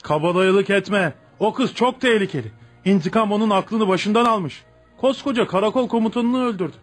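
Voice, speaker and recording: An actor playing a frightened, grumbling farm steward in a Turkish radio play, in a theatrical, agitated manner. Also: male voice, high-pitched, normal speed. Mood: wary. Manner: theatrical, agitated, pleading when threatened. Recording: music behind a radio drama.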